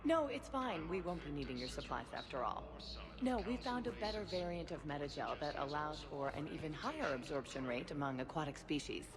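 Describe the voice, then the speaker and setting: A woman playing a brisk medical supply official in a video game, polished, businesslike and expressive. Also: sits low. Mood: smug, businesslike.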